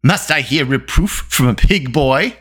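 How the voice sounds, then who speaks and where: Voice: medium pitch, expressive, unhurried, a bit bright, slightly rough, voice pushed up. A storybook reader voicing a haughty male warrior with a scornful, sneering manner. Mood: contemptuous. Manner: sneering, contemptuous.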